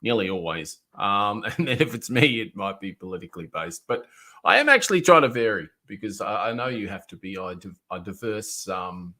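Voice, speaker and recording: A man's voice: fairly low pitch, animated, a little fast, bright, forceful tone. A man hosting a podcast in casual chat, rambling with many ums and playful restarts. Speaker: podcast host.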